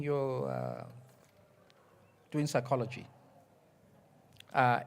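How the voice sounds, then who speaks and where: Man moderating a panel, measured. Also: fairly high pitch. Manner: measured, probing questions. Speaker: vice-chancellor moderating panel. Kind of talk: facilitating a panel dialogue.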